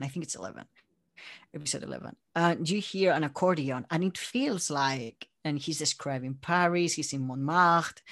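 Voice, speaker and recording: A man from Spain, chatting casually as an interview guest and telling stories in an animated way. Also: fairly high pitch. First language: Spanish. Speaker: interview guest, audio drama creator and voice actor.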